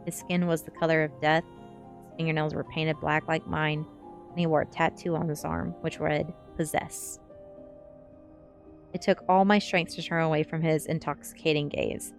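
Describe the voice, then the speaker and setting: A woman with a low voice reads a novel aloud in an ASMR style. It is first-person story narration, set over faint background music. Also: little pitch movement, normal speed, gravelly. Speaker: streamer reading a novel aloud.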